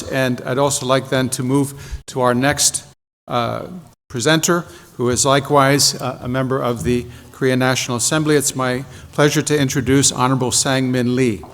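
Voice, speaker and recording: A man moderating a forum, introducing the next speaker formally and pausing often on fillers. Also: mid-range voice, a bit bright. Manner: measured, with frequent filler pauses. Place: large conference hall. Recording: conference hall reverb, faint room noise.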